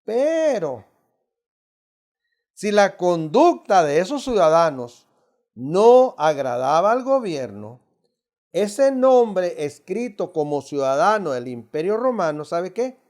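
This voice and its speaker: A man's voice: sits high, very animated, slow pace, darkish tone. A middle-aged man from Mexico with a Mexican accent, teaching an adult Bible study in an emphatic, preacherly way, constantly addressing the listeners as brothers. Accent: Mexican Spanish.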